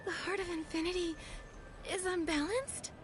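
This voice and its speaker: A woman playing an earnest, gentle young heroine in a fantasy game, speaking softly and tentatively. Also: extremely high pitch, steady tone, normal speed, over music. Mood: bewildered, tentative. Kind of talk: scripted fantasy game cutscene acting.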